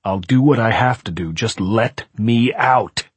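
A man voicing an enraged, desperate husband in an audiobook, intense, shouting and pleading. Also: lowish voice, measured pace, breathy edge, no echo. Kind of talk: audiobook character voice.

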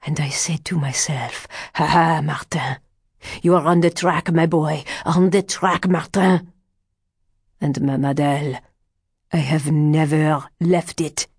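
Woman doing a sly old fortune teller guy from a storybook, slow n ominous, low voice, muttering and exclaiming. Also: steady tone, slightly rough, no room sound, studio quality, full character voice. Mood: excited, gloating. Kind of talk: audiobook character dialogue.

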